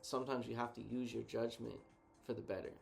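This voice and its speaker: A man co-hosting a casual reflective chat, thinking out loud in a laid-back, slangy way. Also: mid-range voice, little pitch movement, brisk, creaky, faint music behind. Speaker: co-host.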